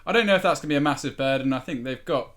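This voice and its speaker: A man talking football as a podcast panelist, giving his analysis in a conversational, slightly halting way. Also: medium pitch, fairly bright tone. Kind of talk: football discussion, casual analysis.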